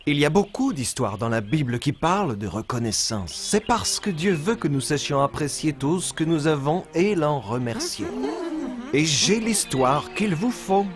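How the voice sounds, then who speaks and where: A man voicing a kind, wise young lion in a French children's cartoon, expressive and gently instructive. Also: a little high, normal speed, music in the background, close to an everyday voice. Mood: kindly, instructive.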